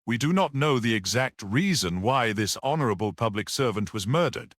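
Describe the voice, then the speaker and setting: A man's voice: mid-range voice, animated, normal speed, a bit bright, no room sound, clean studio sound, pitched above the performer's own voice, lightly acted. A man voicing a passionate, vindictive male barrister in a storybook, with vibrating, emphatic courtroom oratory. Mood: impassioned, vindictive. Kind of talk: dramatic audiobook character reading.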